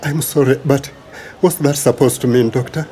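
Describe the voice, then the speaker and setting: A man's voice: medium pitch, steady tone, moderate pace, dark tone, natural voice. A man with a Ugandan accent acting an anxious, emotive husband in a radio drama. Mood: shocked, distressed. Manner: emotive, full of interjections. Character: anxious husband awaiting news of his sick wife.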